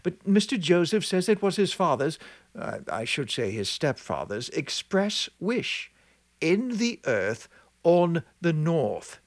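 A man voicing a brisk and impatient country clergyman. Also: fairly high pitch, dry recording, pitched above the performer's own voice.

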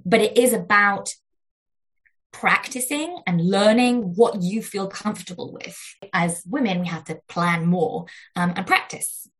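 A woman with a squeaky, small voice answers interview questions at a middling pitch. She talks thoughtfully, stops and restarts often, and keeps checking in with "right?" as she explains. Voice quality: describes her voice as squeaky and little. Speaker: guest, negotiation researcher. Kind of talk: conversational interview.

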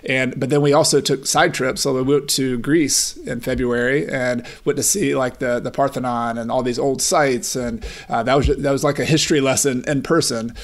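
Middle-aged male podcast guest, mid-range pitch, informal personal storyteller. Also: fairly even intonation, normal speed, few pauses. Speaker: podcast guest, real estate investor and author. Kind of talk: casual chat, personal storytelling.

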